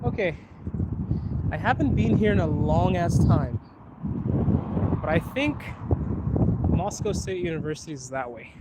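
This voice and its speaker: A young man from Zimbabwe hosting a relaxed live Q&A while walking outdoors. He has his sinuses bothered by pollen, laughs often and drags out some words. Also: on the high side, measured pace, pauses often, very noisy. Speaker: host. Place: outdoors on a city street.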